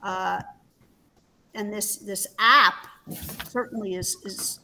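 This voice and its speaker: A woman's voice: medium pitch, fairly even intonation, takes it slowly, pushed and bright. A woman hosting a webinar in a conversational presenting style. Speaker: webinar host.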